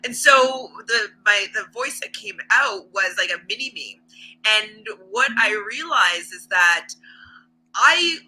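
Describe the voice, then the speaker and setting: A woman's voice: mid-range voice, animated, slow, sharp tone, slightly rough, rough recording. A woman who is an actress and hypnotherapist, talking casually and reflectively, rambling with many fillers.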